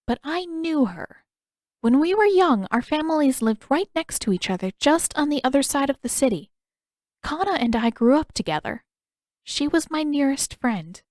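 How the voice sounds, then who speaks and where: A kindly, playful elderly woman from a storybook, as a woman narrator acts her. She speaks with gentle curiosity in a slightly raised pitch, with no room echo at all. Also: very animated, normal speed, clean studio sound. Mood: nostalgic. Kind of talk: audiobook character dialogue.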